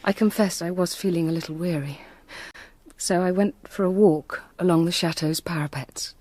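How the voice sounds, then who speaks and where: A woman's voice: on the low side, moderate pace, a little mellow, close to an everyday voice. An actress playing a gracious, troubled married lady in a radio drama, refined, grave and restrained. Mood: haunted.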